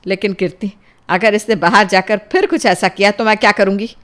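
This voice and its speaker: A woman voicing a strict middle-aged mother in an audio drama, scolding and threatening in a forceful way. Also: medium pitch, brisk, lightly acted. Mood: reluctant.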